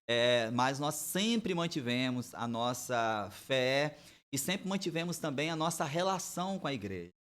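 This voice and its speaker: A man's voice: mid-range voice, animated, unhurried, fairly bright tone. A pastor in his forties, from Bahia, telling his life story as a guest on a church podcast in an easygoing, storytelling way. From Bahia, Brazil. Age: 40s. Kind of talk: relaxed podcast interview, testimony.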